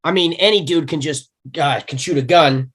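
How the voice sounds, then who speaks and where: A woman doing a gruff wisecracking cop. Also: low voice, normal speed, voice pushed down. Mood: taunting. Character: tough wisecracking male cop.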